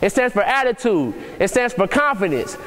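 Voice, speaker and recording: A young man with a strikingly high voice delivers a motivational talk in the manner of a preacher. He speaks earnestly, circling back over his phrases and pressing his points harder as he goes. The sound rings with the long reverb of a large church hall. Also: sing-song, normal speed, fairly bright tone. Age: young adult. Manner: earnest, repetitive, many restarts, rising to preachy emphasis.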